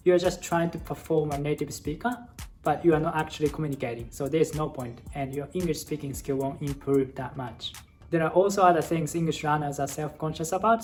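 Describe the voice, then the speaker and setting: A young man from Japan speaking English with a Japanese accent, giving earnest, encouraging advice to English learners as a channel host. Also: a little high. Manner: earnest, encouraging, steady explanatory delivery. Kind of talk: casual advice talk to camera.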